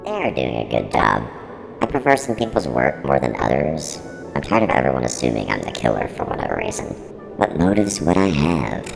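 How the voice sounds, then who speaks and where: An actor playing a snarky, defensive non-human service unit who runs a horror studio, with a pitched-down, gravelly voice in a mock interview. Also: male voice, sing-song, moderate pace, heavily acted. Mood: defensive, casual. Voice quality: very deep, creaky, heavily reverberant. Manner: blunt, flippant, defensive. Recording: long reverberant echo, music underneath.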